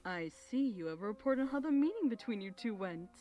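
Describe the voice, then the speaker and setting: A woman voicing a regal, motherly pony princess and mentor in a fan fiction reading, gentle, assured and formal. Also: mid-range voice, lively intonation, fairly quick, lo-fi sound, close to an everyday voice. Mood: composed, approving. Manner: formal, stately, motherly phrasing.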